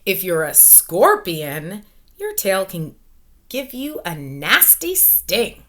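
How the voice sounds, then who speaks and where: A woman in her forties, a teacher reading a picture book aloud to children, expressive and emphatic, with comments and questions along the way. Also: mid-range voice, takes it slowly. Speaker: elementary school teacher. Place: small furnished room at home, indoors. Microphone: webcam or laptop microphone at arm's length.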